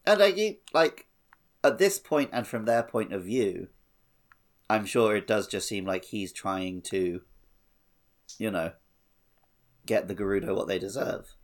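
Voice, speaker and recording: A man's voice: fairly low pitch, animated, measured pace, pauses often. A man co-hosting a podcast, chatting casually about a video game. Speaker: podcast co-host.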